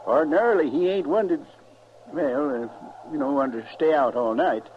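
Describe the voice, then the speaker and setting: A man's voice: on the high side, moderate pace, close to an everyday voice. An actor playing a middle-aged, devout sheepherder father in a radio western, with folksy rural Western American speech. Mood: uneasy, folksy. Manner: folksy, drawling country speech. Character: stubborn, protective father, a devout frontier sheepherder. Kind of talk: old-time radio western acting. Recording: muffled, noisy vintage radio recording.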